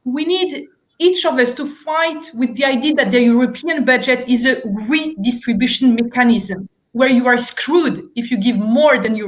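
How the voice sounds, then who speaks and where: A woman makes her case on a policy panel, her voice sitting high. She argues earnestly and persuasively, stumbling and restarting as she builds her point. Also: poor audio. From Romania. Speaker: member of European Parliament, panelist. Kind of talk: panel discussion argument.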